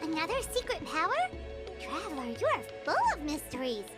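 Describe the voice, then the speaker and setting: A woman voicing a tiny, excitable female companion sprite in a game, with a high, bouncy, chirpy voice. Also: exaggerated voice. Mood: curious. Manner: chirpy, quick to exclaim. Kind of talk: game dialogue. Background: game music.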